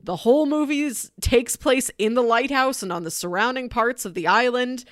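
A woman's voice: fairly high pitch, normal speed, no room sound. Enthusiastic American woman, close dry sound. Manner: enthusiastic, emphatic. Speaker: podcast co-host reviewing films. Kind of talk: casual chat, film recommendations.

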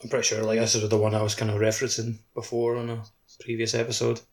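A man's voice: fairly low pitch, monotone, fast talker. A man co-hosting a casual gaming podcast, speaking informally and conversationally. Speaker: podcast co-host. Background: faint music.

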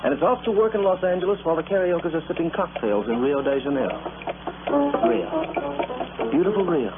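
An actor playing a playful, teasing storyteller who narrates an old-time radio drama theatrically. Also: male voice, on the high side, animated, a little fast, over music, natural voice. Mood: playful, dramatic. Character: excitable, playful storyteller, self-styled practical joker. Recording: tinny, noisy vintage radio recording.

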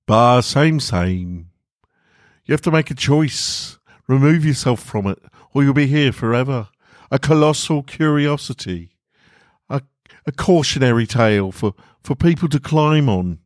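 A man plays a plain-spoken working man from a storybook. He is insistent and blunt, urging and exhorting with emphasis. The voice sits raised in pitch, in a dry, close recording. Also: animated, unhurried, dry recording, lightly acted.

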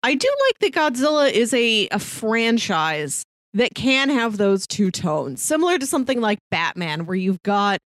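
A woman's voice: on the high side, very animated, normal speed, few pauses, no echo. Woman co-hosting, close dry sound. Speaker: podcast co-host. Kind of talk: casual film discussion.

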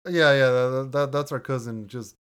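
A man with a deep voice, co-hosting a casual podcast and reading listener reviews in an easygoing way, laughing often and restarting his words. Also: steady tone, moderate pace, fairly bright tone, clean tone. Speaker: podcast co-host. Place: home room, recording remotely.

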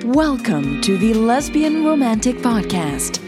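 A woman with a husky, breathy voice hosting and narrating audio fiction in a measured way, with pauses. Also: a little high, big pitch swings, over loud music. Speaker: podcast host and storyteller.

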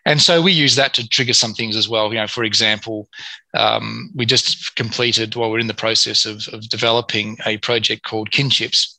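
Gravelly, bright-voiced man in an interview. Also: fairly low pitch, steady tone, moderate pace, no room sound, rough recording. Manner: hesitant, many fillers and restarts. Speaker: mining company executive. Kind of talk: business interview.

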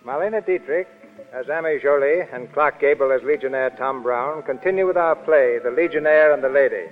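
A man narrates a 1930s radio play from a script. His pitch is middling, with faint music behind, and the recording is muffled. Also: normal speed.

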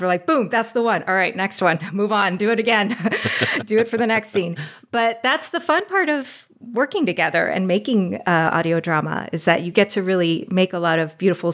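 A woman audio drama producer talking as a guest in a casual interview, chatty and enthusiastic and quick to laugh. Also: fairly low pitch.

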